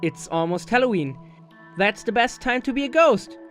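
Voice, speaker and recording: A woman's voice: a little high, expressive, normal speed, soft background music, natural voice. A woman voicing a cheerful, reassuring older-sister ghost in a fan comic dub.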